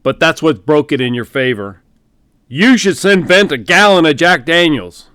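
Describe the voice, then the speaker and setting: A man voicing a loud, blustery older male general barking congratulations over the phone. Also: on the high side, animated, moderate pace, dry recording, clean studio sound, pitched above the performer's own voice. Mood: booming. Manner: loud, blustery, folksy military bark.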